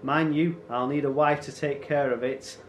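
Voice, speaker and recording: A man's voice: fairly high pitch, steady tone, moderate pace, echoey room, a little hiss or hum, pitched above the performer's own voice, lightly acted. A man voicing a cruel Viking warlord in a storybook, taunting and boastful with false friendliness. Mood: gloating. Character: cruel, mocking Viking warlord, swaggering villain.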